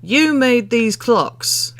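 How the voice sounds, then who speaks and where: A man voicing a curious mid-thirties gentleman customer in a storybook, with a questioning, insistent manner. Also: very high voice, expressive, drawn-out words, voice pushed up, exaggerated voice. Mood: doubting.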